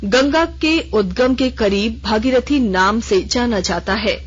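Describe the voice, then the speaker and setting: A woman reading a regional radio news bulletin in a measured, formal delivery. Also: on the high side. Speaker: newsreader. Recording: lo-fi radio broadcast.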